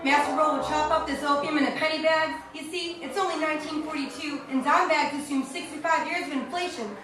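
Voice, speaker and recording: A woman's voice: high voice, steady tone, fairly quick, lightly acted. A woman playing a plucky, cocky detective heroine in a theatrical old-time radio serial parody. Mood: determined. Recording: echoey, distant, music underneath.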